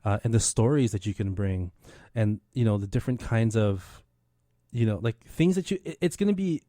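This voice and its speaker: Low, mellow-voiced man hosting casually. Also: animated, normal speed, dry recording, clean studio sound. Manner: enthusiastic, encouraging. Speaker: radio show host. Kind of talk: casual radio interview.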